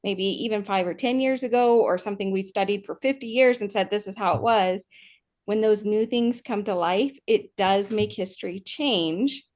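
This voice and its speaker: Woman podcast cohost, mid pitched, earnest and thoughtful, casual chat. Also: moderate pace, darkish tone. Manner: earnest, reflective explaining. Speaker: podcast co-host.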